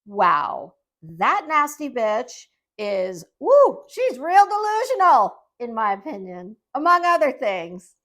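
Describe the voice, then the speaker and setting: High-voiced woman, slow sarcastic commentary. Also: fairly bright tone. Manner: sarcastic, condescending. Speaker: YouTube commentator. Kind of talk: casual off-the-cuff commentary monologue.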